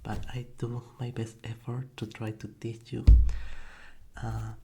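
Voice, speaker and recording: Soft-spoken whispery guy, native spanish speaker, gravelly low voice, takes it slow, lots of ums. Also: completely flat delivery, background noise. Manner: soft whispering, hesitant with fillers. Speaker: ASMR creator teaching Spanish. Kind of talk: soft-spoken ASMR lesson. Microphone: Blue Yeti condenser mic.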